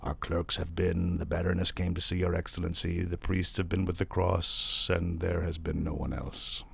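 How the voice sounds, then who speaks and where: A man voicing a humble hall porter in a storybook reading, halting, subdued and deferential. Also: deep voice, flat delivery, vocal fry, husky, full character voice. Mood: hesitant, deferential.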